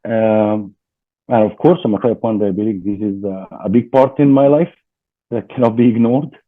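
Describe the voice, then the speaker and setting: A man from Egypt with an Egyptian accent, a guest in a casual interview, talking haltingly with many fillers over a video call. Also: on the low side, no room sound. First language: Arabic. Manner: hesitant, many fillers and restarts. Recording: dry tinny phone-like call audio.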